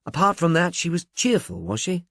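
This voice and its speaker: A man's voice: a little high, big pitch swings, a little fast, creaky, a bit bright, no room sound, clean studio sound, voice pushed up, exaggerated voice. A man voicing a polite, inquisitive male police investigator in an audiobook.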